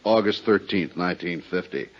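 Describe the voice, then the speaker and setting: An actor playing a hearty, plain-spoken insurance adjuster, matter-of-fact and conversational, in a 1950s radio drama. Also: male voice, deep voice, normal speed, lightly acted. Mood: matter-of-fact, insistent. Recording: dull 1950s radio recording.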